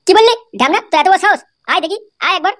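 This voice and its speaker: A man's voice: extremely high pitch, animated, rapid-fire, fairly bright tone. A man voicing a cheeky, quarrelsome, indignant cartoon character in a comedy. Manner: indignant, mouthy.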